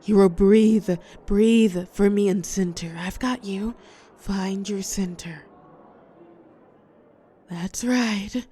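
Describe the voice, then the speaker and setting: A woman playing a telepathic villain and former hero in a roleplay audio drama, protective and sharp-tongued, with a breathy voice that often drops to a whisper. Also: mid-range voice, slight background noise, close to an everyday voice. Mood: urgent, steadying. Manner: slow, commanding yet tender coaching.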